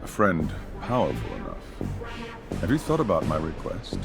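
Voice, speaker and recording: A man playing a menacing colonel in a video game, insinuating and falsely friendly, with deliberate delivery. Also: rumbling low, wildly swooping pitch, gravelly, over music, exaggerated voice. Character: smooth-talking, menacing male colonel, secret police villain.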